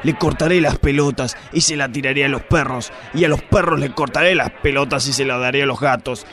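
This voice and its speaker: A man's voice: medium pitch, fairly quick, fairly bright tone, close to an everyday voice. A man playing a crude, boastful, corrupt police inspector in a radio drama, with a Rioplatense accent. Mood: melodramatic. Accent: Rioplatense Spanish. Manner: blustering, melodramatic, vulgar outbursts. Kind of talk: amateur radio drama acting.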